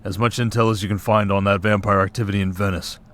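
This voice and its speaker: A stern hunter commander, played by a man in an audio roleplay. He speaks in a low register with clipped authority, like a superior briefing a young recruit. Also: little pitch movement, quick pace, fairly bright tone, lightly acted. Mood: stern, businesslike. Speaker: voice actor. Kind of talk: scripted ASMR roleplay.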